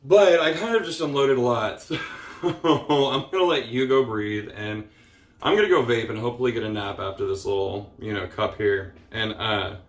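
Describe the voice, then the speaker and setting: A man's voice: medium pitch, normal speed, roomy sound. A man talking casually into his camera in a frustrated, tired ramble, full of fillers and casual swearing. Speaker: vlogger. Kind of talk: casual personal vlog rant.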